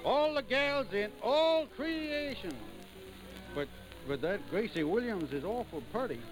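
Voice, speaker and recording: Lovestruck backwoods lad: folksy Southern US dialect, halting, stubborn, fairly high pitch, vintage radio play. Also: male voice, lively intonation, faint music behind, low-quality recording. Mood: drunk, dreamy. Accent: rural Southern US. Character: stubborn, lovestruck, hot-headed young backwoods country man. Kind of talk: old radio drama acting.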